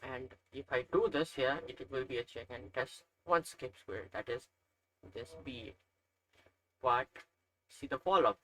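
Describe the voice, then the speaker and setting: A man's voice: mid-range voice, normal speed, a bit bright, a little hiss or hum, low-quality recording. Nepali-accented young man thinking aloud. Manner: thinking aloud, hesitant with frequent uhs and self-corrections. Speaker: chess learner narrating puzzles. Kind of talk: casual screen-recorded commentary.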